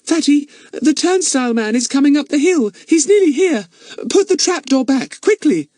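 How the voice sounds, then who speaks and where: A man reading an audiobook, voicing an eager, excitable young girl in a high, breathless voice. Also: normal speed, dry recording, studio quality, heavily acted. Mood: alarmed. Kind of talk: audiobook character voice.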